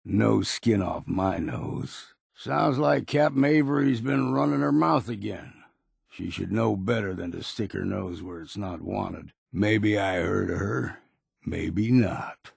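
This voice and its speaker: A cynical old hunter from a video game. His low voice is thick with gravel and husk, and he talks slowly in curt, folksy, grudging phrases. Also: no room sound, clean studio sound, full character voice. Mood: scornful. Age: older. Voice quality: gravelly, husky. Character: gruff, cynical old wilderness guide and hunter. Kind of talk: video game character dialogue.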